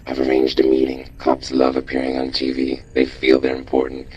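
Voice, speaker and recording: A man voicing a gruff robot boss in a video game, terse and authoritative as he hands out missions. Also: extremely low pitch, monotone, fairly quick, a little mellow, poor audio. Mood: measured, instructing. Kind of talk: scripted game dialogue.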